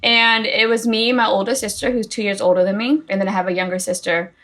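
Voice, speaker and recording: A woman in her thirties who grew up in Boston, chatting as a guest in a relaxed interview. She talks freely, tossing in "like" and "right?" tags as she goes. Her pitch sits in an ordinary middle range. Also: fast. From Boston, United States. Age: 30s. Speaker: guest, coffee company founder. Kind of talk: casual interview conversation.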